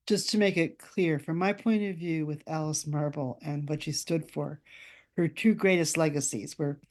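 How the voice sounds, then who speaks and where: Female interview guest, author. Low-pitched, mellow voice, halting reflective storytelling.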